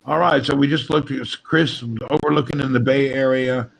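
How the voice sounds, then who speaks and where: A man's voice: mid-range voice, steady tone, normal speed, creaky, a little hiss or hum, poor audio. A man hosting a casual group chat on a live stream. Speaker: livestream host.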